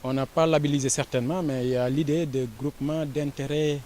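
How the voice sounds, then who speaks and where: A man with a Senegalese accent, an education official giving a formal, measured interview statement with frequent pauses and fillers. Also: on the high side, fairly even intonation, darkish tone, a little hiss or hum.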